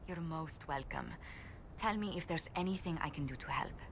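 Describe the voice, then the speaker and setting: A woman's voice: low voice, moderate pace, slight background noise, close to an everyday voice. A woman playing a polite, caring diplomat in a video game, formal and considerate. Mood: gracious. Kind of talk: video game character dialogue.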